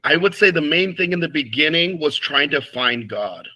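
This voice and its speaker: A man's voice: on the high side, animated, moderate pace, sharp tone. A man, a priest being interviewed, talking frankly about his past with many restarts and fillers. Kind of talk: casual interview conversation.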